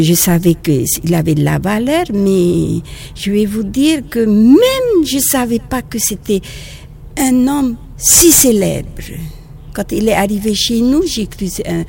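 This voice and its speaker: Slow gravelly Polynesian woman. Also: medium pitch, a little hiss or hum. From French Polynesia. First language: Tahitian. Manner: halting, restarts phrases, gentle. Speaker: nun and teacher. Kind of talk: outdoor interview recollection.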